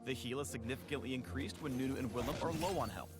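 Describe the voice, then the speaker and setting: A man's voice: mid-range voice, fast talker. A man reading scripted promotional narration for a video game spotlight in a polished, punchy, playful way. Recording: music under the voice.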